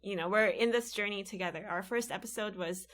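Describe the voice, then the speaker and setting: Podcast cohost from the philippines, earnest and encouraging, chatting in a library studio. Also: female voice, medium pitch, a little fast, a bit bright. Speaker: podcast co-host. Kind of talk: casual podcast chat.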